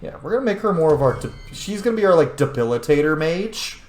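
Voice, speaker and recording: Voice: medium pitch, sing-song, fast, darkish tone, reverberant. A video game streamer giving casual let's play commentary, informal and sweary, thinking aloud.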